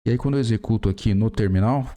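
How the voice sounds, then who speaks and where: A man's voice: on the low side, fast, dark tone. A Brazilian man in his forties explaining a coding tutorial step by step while typing, with many fillers. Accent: Brazilian Portuguese. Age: 40s. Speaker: programming tutor. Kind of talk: screen-recorded coding tutorial.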